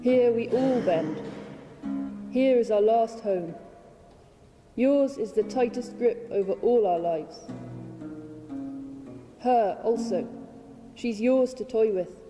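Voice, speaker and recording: A woman giving a dramatic poetry reading, measured and deliberate, with weighted pauses. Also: a little high. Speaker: reader of own poetry translation. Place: large hall at a public reading. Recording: reverberant hall with plucked guitar music. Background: plucked string music.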